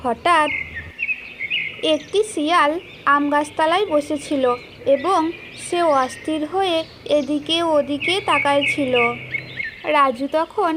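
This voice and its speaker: A woman's voice: sits high, slow, pauses often, background noise. A woman giving scripted storybook narration for a children's cartoon fairy tale.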